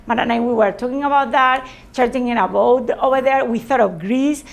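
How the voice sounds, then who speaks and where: Spanish lady giving an informal talk, kinda high voice w some creak, repeats her words. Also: steady tone, a little fast. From Spain. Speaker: presenter, sailor. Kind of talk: informal presentation to a group.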